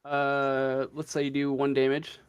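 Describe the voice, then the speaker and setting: A man running a casual tabletop game as game master, improvising the narration in a hesitant, halting way. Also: medium pitch, monotone, clear, resonant tone, lo-fi sound.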